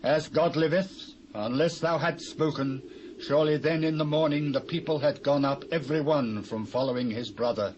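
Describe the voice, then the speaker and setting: A man's voice: fairly high pitch, moderate pace, lightly acted. A British man playing a solemn biblical warrior leader, declaiming in a dramatised scripture reading. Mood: solemn oath. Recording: noisy old cassette transfer.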